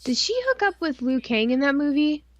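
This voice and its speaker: A woman's voice: high voice, animated, a little fast, no echo, highs cut off. Sweary, rambling woman podcaster. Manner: sweary, slangy, rambling geeky enthusiasm. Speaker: podcast co-host. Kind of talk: casual live-stream chat.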